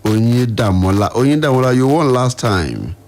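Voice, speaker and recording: A man's voice: mid-range voice, sing-song, moderate pace, a little mellow, a little hiss or hum. A man with a Nigerian accent hosting a live radio phone-in from a studio. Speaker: radio host.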